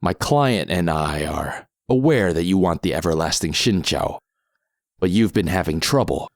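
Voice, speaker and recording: An actor playing a calm masked mercenary in an audio drama, measured, unhurried and coolly threatening. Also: male voice, sits low, dry recording, studio quality. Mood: calm, commanding. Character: calm masked mercenary man, cool and menacing.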